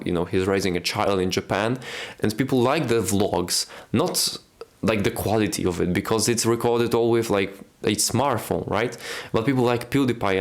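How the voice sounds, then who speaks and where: Young Polish man rambling to camera. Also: on the low side. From Poland. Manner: rambling, many fillers like "you know" and "like". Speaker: YouTube creator. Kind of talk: casual talking-head vlog. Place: indoors at home, speaking to camera. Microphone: camera mic, in front of camera.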